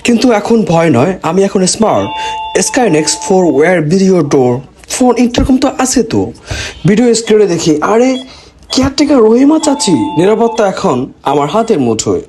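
A man's voice: sits high, big pitch swings, fairly quick, dark tone. A man with a Bangladeshi accent presenting a product in a promotional voice-over, mixing in English phrases. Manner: mixes English phrases into speech. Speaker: product presenter for a gadget seller. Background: background music.

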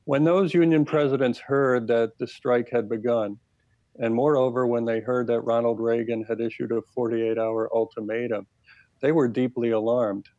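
An American man in his fifties giving measured, deliberate explanations as an expert interview guest over a video call headset. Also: medium pitch, steady tone, mellow, no echo. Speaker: expert guest, labor history professor. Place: plain room indoors. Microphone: earbud headset on video call. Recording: muffled, lo-fi video call audio.

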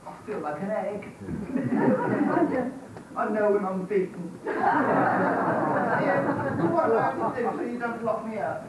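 A man acting a naughty big bad wolf villain in a stage pantomime, in a theatrical, drawn-out manner. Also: high-pitched, over a noisy crowd. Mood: defeated. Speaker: amateur pantomime performer. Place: village hall stage, audience present. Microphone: distant camcorder at back of audience. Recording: echoey noisy hall, far from mic.

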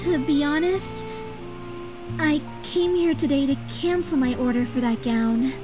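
A woman's voice: sits high, a little slow. A woman voicing a timid, apologetic young female customer in a video game, speaking politely and hesitantly. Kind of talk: scripted video game voice acting. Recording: game music behind the voice.

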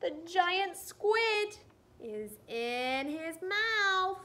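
A woman in her thirties reading a picture book aloud to young children indoors, animated and questioning her listeners. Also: very high-pitched, drawn-out words, a little hiss or hum. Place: small room indoors.

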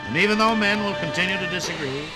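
Male announcer, fairly high voice, formal and dramatic scripted narration over music, vintage 1950s radio record. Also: fast.

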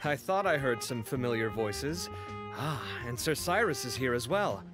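An actor playing a polite, personable young gentleman in a video game, eloquent and courteous. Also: medium pitch, lively intonation, normal speed, over music, close to an everyday voice. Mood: courteous, cheerful. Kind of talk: video game dialogue acting.

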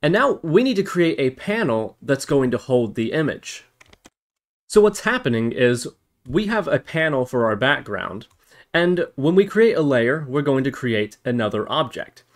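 A man's voice: mid-range voice, sing-song, measured pace. A man narrating a programming tutorial, explaining step by step and thinking aloud as he goes. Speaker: tutorial host.

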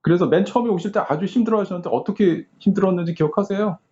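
Man, hospital interviewer, gentle, attentive, dark mellow tone, slightly dull recording. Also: high voice, very fast. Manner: gentle, attentive, with frequent short affirmations. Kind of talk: casual interview.

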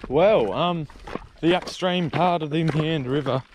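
Slow-talking young Australian man outdoors. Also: on the high side, noisy background. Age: young adult. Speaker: fishing vlogger. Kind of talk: casual outdoor vlog narration. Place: outdoors on a grassy riverbank. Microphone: body-worn or handheld action camera.

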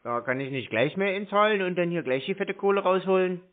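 A man's voice: sits high, expressive, fairly quick. A man talking bluntly in short, colloquial, slangy replies during a phone conversation. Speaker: scam-baiting call recipient. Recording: tinny band-limited phone line.

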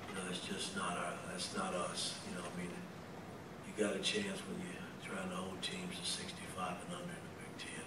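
A middle-aged man, a basketball head coach, answering reporters at a postgame press conference in a blunt, measured way, often restarting with "I mean". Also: deep voice. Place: press room with sponsor backdrop. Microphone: table microphone. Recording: roomy echo, distant mic, loud room noise.

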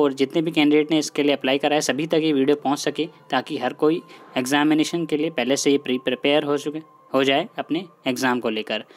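A man hosting an informal explainer, speaking directly to viewers, repeating himself and mixing in English words. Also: a little high. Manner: repetitive, mixes English words into his talk. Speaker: host of an exam news channel.

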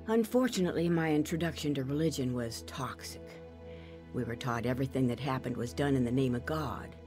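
A grown woman giving scripted first-person testimony in an audio drama. She narrates in a measured, reflective way, with clear music underneath. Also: a bass voice, a little mellow. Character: grown woman recounting her abusive childhood.

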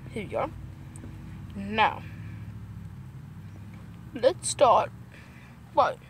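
Female game streamer, playful self-talk, unhurried delivery, slightly noisy amateur stream. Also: on the high side, expressive. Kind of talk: casual gameplay commentary, thinking aloud.

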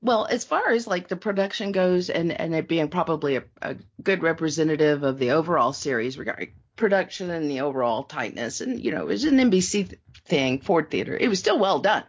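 Female podcast panelist, husky and animated in pitch, casual, hesitant delivery. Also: fairly quick, few pauses. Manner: hesitant, noncommittal. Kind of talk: casual review chat. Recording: slightly dull, lo-fi sound.

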